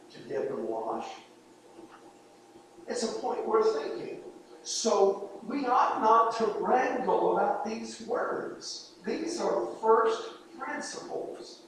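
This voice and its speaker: A man's voice: high-pitched, a little hiss or hum. A man preaching a sermon, earnest and pleading, pressing his points with emphatic repetition. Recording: echoey hall, voice from far off.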